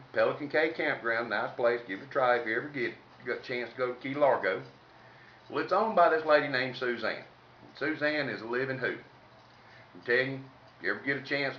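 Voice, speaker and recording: A man with a Southern US accent telling humorous stories in a folksy, salty, colloquial way. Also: medium pitch. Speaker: comedic storyteller. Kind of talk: informal humorous storytelling. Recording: roomy, distant mic, faint noise, dull sound.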